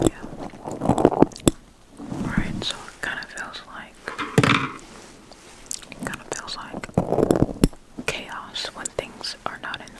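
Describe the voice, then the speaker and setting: A calm, reassuring ASMR performer in a roleplay, whispering breathily and soothingly close to the microphone. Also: low-quality recording. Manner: calm, soothing, reassuring, with soft repeated affirmations. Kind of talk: ASMR personal attention roleplay.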